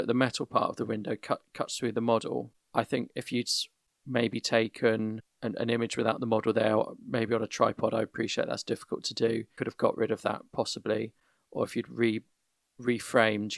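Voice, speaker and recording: A man hosting a casual podcast, giving measured, careful feedback with frequent restarts over an internet call. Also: mid-range voice, creaky, a bit bright, dry recording, studio quality. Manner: measured, many restarts, gives constructive feedback. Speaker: podcast host. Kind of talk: casual conversational critique. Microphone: voip call.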